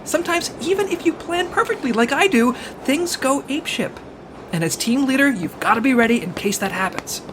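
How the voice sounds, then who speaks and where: An actor playing an earnest, pedantic agent in an audio comedy drama. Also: male voice, very high-pitched, animated, a little fast, noisy background.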